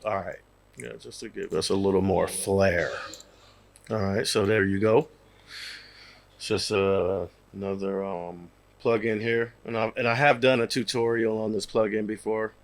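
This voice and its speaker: A man in his thirties with a slightly raspy, worn voice, casually explaining software in a home studio over a headset mic, with lots of fillers. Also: on the low side, unhurried. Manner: relaxed, rambling, many fillers. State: losing his voice, a bit raspy. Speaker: music producer. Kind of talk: casual screen-share tutorial.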